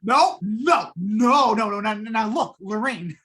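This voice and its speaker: A woman playing a frantic, hapless working man in an old-time radio drama, stammering and flustered in a husky voice. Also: mid-range voice, lively intonation, takes it slowly, fairly bright tone, full character voice. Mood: panicked.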